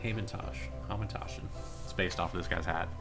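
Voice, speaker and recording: A man's voice: deep voice, steady tone, a little fast, over music. A man from a voice cast, chatting informally in a group commentary. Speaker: voice cast member. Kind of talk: casual group chat.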